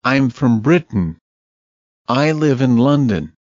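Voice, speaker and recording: A man reading scripted sentences for a language listening test, deliberate and clearly enunciated. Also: medium pitch, expressive, a little mellow, no room sound, lo-fi sound.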